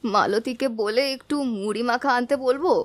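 A woman's voice: on the high side, moderate pace, dry recording, pitched below the performer's own voice, lightly acted. A woman voicing a caring, soothing older village wife and mother in a cartoon. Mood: soothing, concerned. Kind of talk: cartoon voice acting.

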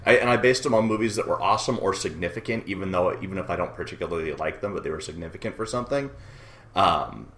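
Deep-voiced joking male podcast host. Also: expressive, fast talker, fairly bright tone. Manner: laid-back, joking, swears casually. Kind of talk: casual podcast chat.

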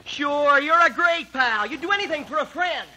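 An actor playing a pleading, sulky teenage schoolboy with a whiny, wheedling manner in an old radio drama. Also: male voice, very high voice, animated, normal speed, pushed and bright, exaggerated voice. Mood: bitter, sarcastic. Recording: noisy vintage radio recording.

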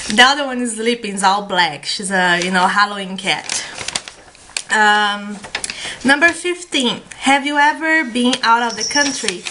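A woman's voice: medium pitch, unhurried, pauses often, background noise. A woman in her thirties with a Brazilian Portuguese accent, chatting casually to a webcam at home with many fillers. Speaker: beauty vlogger. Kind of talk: casual chat. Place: small room at home, indoors. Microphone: webcam at desk.

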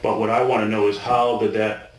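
A man's voice: lowish voice, level tone, fairly quick. A man working as a police interrogator, questioning informally with patient, folksy phrasing and repeated words. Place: small bare indoor interview room. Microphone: distant fixed room camera microphone. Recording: roomy, distant, noisy surveillance recording.

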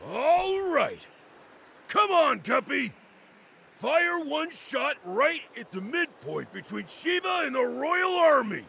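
Brash bounty-hunter villain for a game, forceful and swooping, gravelly, blustering orders over music. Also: male voice, extremely high pitch, very animated, slow pace, slight background noise, full character voice. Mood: scheming, commanding. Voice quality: gravelly, strained. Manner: bossy, sneering bluster. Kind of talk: English video game cutscene acting.

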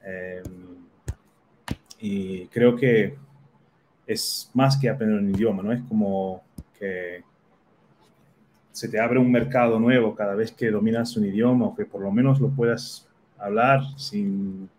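A man's voice: lowish voice, steady tone, slow pace, pauses often, a little mellow, slight background noise, low-quality recording. A man in his thirties, born in the Netherlands and a native Portuguese speaker, answering interview questions thoughtfully with many fillers. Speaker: guest. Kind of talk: casual video-call interview. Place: small home office.

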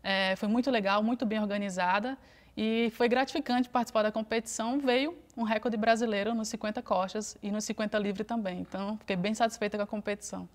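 A woman's voice: medium pitch, a little fast. Brazilian woman swimmer in a studio interview. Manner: relaxed and reflective, frequent fillers. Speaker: interview guest, Paralympic swimmer.

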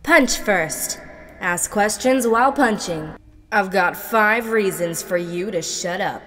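A young woman in her twenties doing quick impressions of many characters in a cluttered bedroom, switching between whispery, husky and squeaky voices. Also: fairly bright tone, in a large hall. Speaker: gaming video creator. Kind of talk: rapid-fire character impressions.

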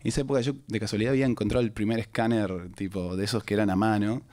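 A man's voice: on the low side, steady tone, racing, a little mellow. A Uruguayan man, rambling through a self-deprecating anecdote at a cinema press conference, speaking into a stand mic. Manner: rambling, self-deprecating storyteller with many restarts. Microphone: handheld mic on a stand.

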